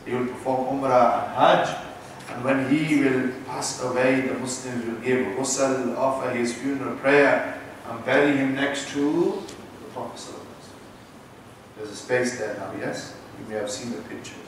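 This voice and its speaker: A man's voice: mid-range voice, steady tone, normal speed, a little hiss or hum. A man with a British accent, an imam giving an Islamic lecture and teasing his listeners with asides. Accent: British English. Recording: echoey room, voice from far off.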